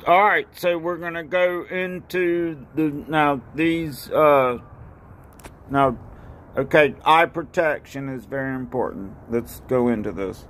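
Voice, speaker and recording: An older man from North Carolina talks outdoors on a porch into a phone at arm's length, rambling and halting with many fillers and repeated thank-yous. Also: on the high side, fairly bright tone, a little hiss or hum. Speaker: ski racer and video maker. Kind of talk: casual home video. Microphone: phone camera at arm's length.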